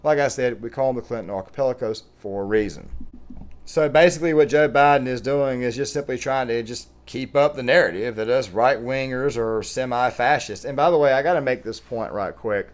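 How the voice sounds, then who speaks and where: A man's voice: mid-range voice, a little fast. A man with an American accent giving opinionated, emphatic commentary as a vlogger speaking to his viewers.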